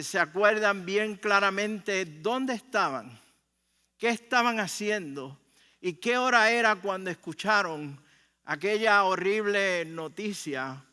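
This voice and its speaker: Bright-voiced Puerto Rican male preacher. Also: sits high, smooth. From Puerto Rico. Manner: deliberate phrasing, dramatic pauses, conversational storytelling. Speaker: pastor. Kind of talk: sermon. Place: large room, speaking to a congregation. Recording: reverberant hall sound.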